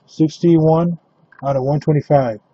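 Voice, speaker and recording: A man hosting a live trading card break, announcing cards and teams in a steady, matter-of-fact way. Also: on the high side, a little slow, husky, dry recording, lo-fi sound.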